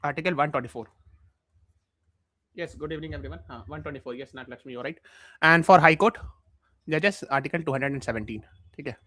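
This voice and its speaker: A man's voice: a little high, fast talker, pauses often, bright, forceful tone, dry recording. A man with an Indian accent teaching a live online exam class while mildly feverish, explaining points repeatedly and checking with questions.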